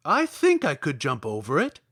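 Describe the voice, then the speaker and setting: A man performs a shaggy, cowardly lion from a children's storybook. The big beast is earnest and obliging, speaking in formal, deliberate phrases with a high, swooping delivery and a dry sound. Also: huge pitch swings, a bit bright, slightly rough, no room sound, full character voice. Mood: nervous but willing.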